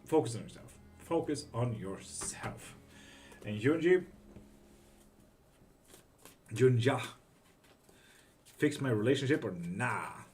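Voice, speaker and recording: Guy doing live tarot, chill and sweary, reads chat out loud, mid pitch, bit of music in the back. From Greece. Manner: relaxed and profane, with quick laughs. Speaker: live tarot reading streamer. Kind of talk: casual live-stream chat, reading comments aloud.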